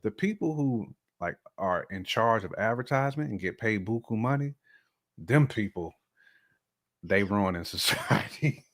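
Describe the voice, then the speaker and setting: This is an American man with a fairly deep voice. He talks in a colloquial, conversational podcast style. His delivery is emphatic, with regular check-ins to make sure he is followed. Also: lively intonation, a little slow. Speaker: podcast guest or co-host discussing relationships. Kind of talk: casual conversational podcast talk.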